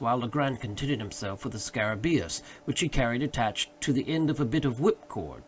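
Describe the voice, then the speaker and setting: Expressive man reading classic literature, varied pitch. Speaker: audiobook narrator. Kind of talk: literary scripted narration.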